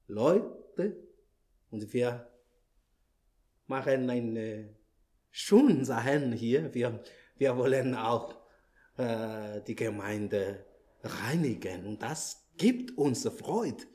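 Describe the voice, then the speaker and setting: A visiting preacher, a man whose first language is Malagasy, speaks German with a clear French accent. He talks very slowly, pausing and filling gaps with hesitant sounds as he searches for words. His pitch sits at an ordinary middle level. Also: dark tone. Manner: halting, many fillers and restarts, searches for words. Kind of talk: church greeting and sermon opening. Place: church hall.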